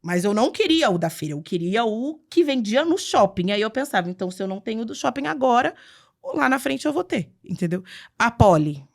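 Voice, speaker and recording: A woman in her twenties from the interior of Minas Gerais, a podcast guest telling childhood stories in an animated, chatty way. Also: a little high, brisk, creaky. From interior of Minas Gerais, Brazil. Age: 20s. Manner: animated, chatty storytelling with laughs and "entendeu?" tags. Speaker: podcast guest, beauty communicator. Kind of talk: conversational interview, personal anecdotes.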